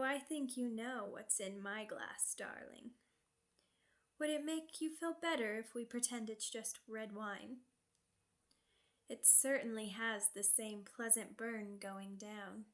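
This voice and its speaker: A woman's voice: a little high, fairly bright tone. A woman playing a seductive, sadistic vampire noblewoman in an ASMR roleplay, speaking formally and teasingly, savoring each phrase. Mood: menacing, amused.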